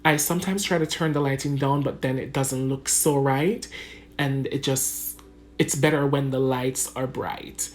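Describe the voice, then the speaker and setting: A woman's voice: rumbling low, steady tone, a little slow. A woman with a Jamaican accent narrating a makeup tutorial in a chatty, casual way, talking straight to her viewers. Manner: chatty, addresses viewers as guys, laughs often. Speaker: beauty vlogger. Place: home room under bright lights.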